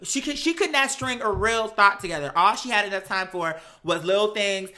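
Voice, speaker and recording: A woman doing casual gossip commentary on reality TV, sassy and animated, with slangy, profane talk and mocking quotes. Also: medium pitch, moderate pace. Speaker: host of a live commentary show.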